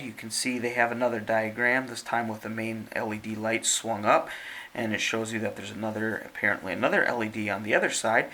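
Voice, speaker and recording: A man giving casual unboxing narration as a product reviewer, explaining things in a measured, step-by-step way. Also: mid-range voice, sharp tone. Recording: faint background hiss.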